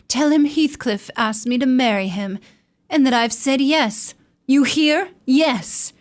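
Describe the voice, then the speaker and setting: A woman's voice: sits high, slow. An actress playing a vain, lovestruck young lady, haughty and insistent, in radio melodrama. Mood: defiant, triumphant. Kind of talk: melodramatic old-time radio drama acting.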